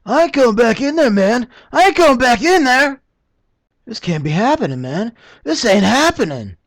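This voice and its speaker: An actor playing a panicking, whiny soldier in a science fiction horror film, frantically repeating himself. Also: male voice, very high voice, sing-song, normal speed, no room sound, clean studio sound, exaggerated voice. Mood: frantic panic.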